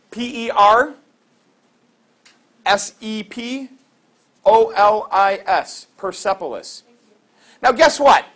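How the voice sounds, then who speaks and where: A middle-aged man teaching a class, lively and quizzing students with quick questions. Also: high-pitched.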